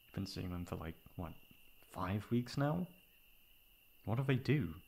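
A man playing a caring, protective young best friend in an intimate ASMR roleplay, with a soft, breathy voice. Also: deep voice, lively intonation, unhurried, slight background noise, exaggerated voice. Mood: concerned, soothing. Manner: intimate, comforting, casual. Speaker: voice actor.

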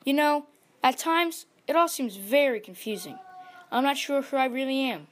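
Woman putting on a high-pitched, vain little male chameleon. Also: expressive, normal speed, slight background noise. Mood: fretful, confused. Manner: boastful, defensive. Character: vain, insecure, grouchy small male chameleon. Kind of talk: children's storybook character voice.

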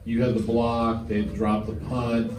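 A man, a reporter, asking halting questions at a press conference and restarting his sentences as he goes. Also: medium pitch, flat delivery. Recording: distant, roomy, with room noise.

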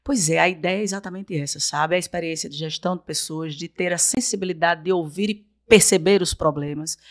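A woman's voice: lowish voice, a bit bright, no room sound. Emphatic woman in her 40s from paraiba, guest on a podcast, dry close mic. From Brazil, raised in Paraíba. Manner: emphatic, repeats for rhetorical effect. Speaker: guest, council candidate. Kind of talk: podcast interview. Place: small podcast studio with blue-lit backdrop. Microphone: desk mic on boom arm.

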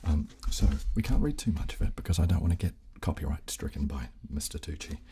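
A man in his thirties hosting a soft ASMR chat close on a desk microphone, hushed, halting and polite. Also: very deep voice, expressive, a little hiss or hum. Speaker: host of an ASMR channel. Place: small furnished studio room, indoors. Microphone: close desk condenser microphone.

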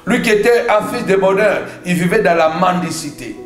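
A man's voice: high-pitched, normal speed. A man preaching a fervent charismatic sermon in a declamatory way, hammering phrases through insistent repetition. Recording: echoey room with background music.

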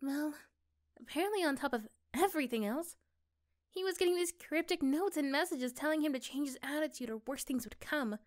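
A woman playing an affectionate, reassuring young girlfriend in a soft, gentle ASMR roleplay. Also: high-pitched, brisk, lightly acted. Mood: storytelling. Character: affectionate, reassuring young girlfriend chatting intimately to her partner.

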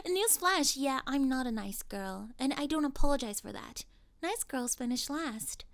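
Casting: female actor as spoiled popular mean girl for audio roleplay, high voice with creaky fry, sarcastic and scornful. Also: very animated, a little slow, pushed and bright, no echo, heavily acted. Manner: scornful, sarcastic, laughing derisively. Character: snobby mean popular teenage cheerleader girl, spoiled and catty. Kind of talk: ASMR roleplay acting.

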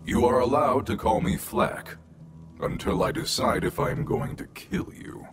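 A man's voice: medium pitch, fairly even intonation, a little slow, faint music behind, natural voice. A man playing a cold, disdainful hunter in a video game, speaking in a curt, dry, threatening manner. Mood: cold threat. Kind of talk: video game character acting.

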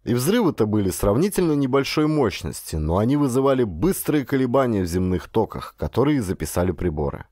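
A male audiobook narrator with an animated, mid-pitched voice. He reads first-person prose expressively, like an actor, in a very dry, close recording. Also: brisk, hardly any pauses, no room sound.

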